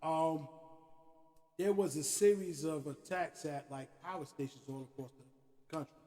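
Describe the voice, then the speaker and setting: A man hosting a podcast, ranting in an animated, profane way and often asking "you know what I'm saying". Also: fairly high pitch, unhurried. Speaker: podcast host. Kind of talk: opinionated casual rant. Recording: hall-like reverb.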